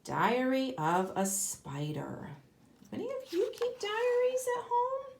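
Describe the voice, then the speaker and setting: A woman kindergarten teacher reading a picture book aloud expressively to young children, pausing to ask them questions. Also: high-pitched, lively intonation, vocal fry, slight background noise. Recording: roomy, echoey sound.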